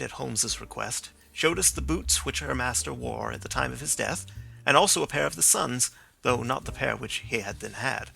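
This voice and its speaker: A man narrating a classic story for an audiobook, reading in a steady, descriptive way. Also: medium pitch, gravelly.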